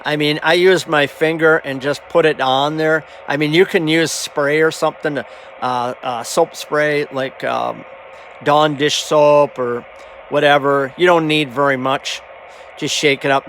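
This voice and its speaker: A man giving a casual, halting, rambling hands-on demonstration as a hobbyist presenter. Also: on the high side, fairly even intonation, a bit bright. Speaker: hobbyist presenter showing his CB radio. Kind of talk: casual hands-on show-and-tell. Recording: noisy amateur camera audio. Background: clear background noise.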